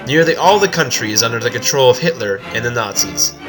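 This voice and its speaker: A man's voice: mid-range voice, lively intonation, fairly quick, music in the background. A man reading a scripted, structured explanatory narration as a video essayist.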